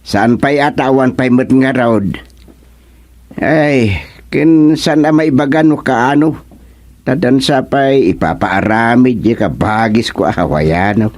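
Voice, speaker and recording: A man acts out a chatty village neighbour in an Ilocano radio comedy. The delivery is unhurried and folksy, with an Ilocano accent and a middling pitch. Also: darkish tone, lightly acted. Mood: curious.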